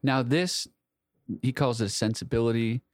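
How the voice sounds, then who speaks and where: A man's voice: medium pitch, normal speed, dry recording, studio quality. A man co-hosting a casual literary discussion, searching for words, repeating phrases and swearing freely. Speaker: podcast co-host.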